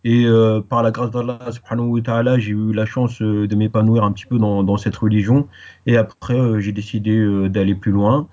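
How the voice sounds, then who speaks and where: A man born in France, answering interview questions in a relaxed way, pausing often with fillers as he looks for his words. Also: on the low side, highs cut off. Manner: hesitant, many pauses and fillers. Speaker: interview guest. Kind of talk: casual interview.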